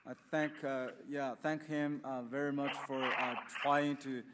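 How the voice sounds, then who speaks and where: A man with a Chinese accent interpreting live in a halting, hesitant way in a hearing room. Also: mid-range voice, little pitch movement, big-room sound, very noisy. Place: large indoor hearing room.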